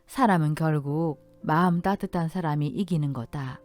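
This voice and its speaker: A woman's voice: sits low, normal speed, a little mellow, faint music behind, lightly acted. A woman voicing a kindly, spirited elderly mother-in-law with an affectionate, plain-spoken manner in a radio story. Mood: tender. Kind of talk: Korean radio story dialogue.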